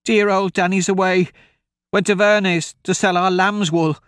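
A man voicing a frightened old farm woman who pleads in a shrill, breathless way. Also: little pitch movement, moderate pace, fairly bright tone, smooth, dry recording, studio quality. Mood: frightened pleading.

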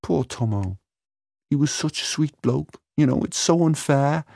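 A man's voice: on the high side, expressive, measured pace, creaky, dark tone, dry recording, voice pushed up, heavily acted. A man puts on a young woman in an audio story. She is forthright and brave with a brittle sadness, speaking in a dry, close recording. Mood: sad, brittle.

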